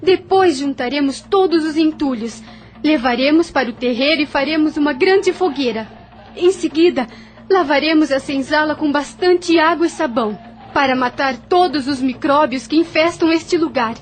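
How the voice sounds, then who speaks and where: Mulher interpretando jovem sinhá determinada, voz bem aguda. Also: female voice, high voice, normal speed, faint music behind, close to an everyday voice. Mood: resolute. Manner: earnest, commanding. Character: young compassionate, determined plantation mistress. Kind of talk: audio drama acting.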